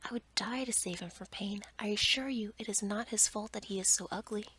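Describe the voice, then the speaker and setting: Young fairy-tale heroine read by a woman, kind and earnest, pleading in a breathy hush. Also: medium pitch, a little fast. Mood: earnest, concerned. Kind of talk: whispered ASMR storytelling.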